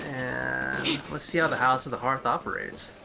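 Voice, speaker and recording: A woman's voice: a bass voice, fairly even intonation, normal speed, gravelly, noisy background. A woman streaming a game, thinking aloud in casual, wry commentary. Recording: loud game music behind.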